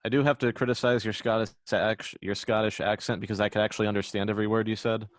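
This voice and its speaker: A young man co-hosting a podcast, joking with dry, wry humor. His voice sits on the low side. He sounds close to the mic, with no room sound. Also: little pitch movement, quick pace, dry recording. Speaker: podcast co-host. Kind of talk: casual chat.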